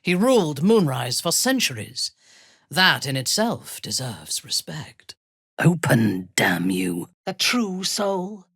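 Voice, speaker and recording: Husky, imperious dark elf noblewoman. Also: deep voice, sing-song, no room sound, studio quality, exaggerated voice. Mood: stern, haughty. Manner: commanding, deliberate, formal and haughty. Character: imperious, ruthless dark elf noblewoman warrior, coldly devoted. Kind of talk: dramatic fantasy video game acting.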